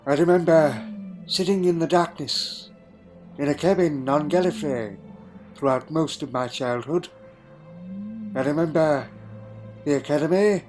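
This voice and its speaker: A man playing a wistful alien time traveller in a dramatic audio drama, speaking haltingly and reflectively in short phrases. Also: a little high, darkish tone, lightly acted. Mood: lonely, haunted. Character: wistful male alien time traveller recalling a lonely childhood. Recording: music under the voice.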